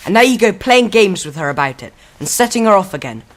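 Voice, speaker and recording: A woman's voice: medium pitch, very animated, fast, natural voice. Woman playing a stern, bossy schoolboy. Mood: indignant scolding. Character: stern, protective older-brother schoolboy, bossy and indignant. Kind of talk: radio drama acting.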